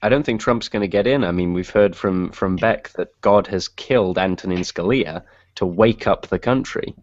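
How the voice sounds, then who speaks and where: Male panelist, very deep voice, sardonic and opinionated casual talk, dry muffled internet-call audio. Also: normal speed, dry recording. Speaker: podcast panelist. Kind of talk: casual political group chat. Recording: dull lo-fi online voice call.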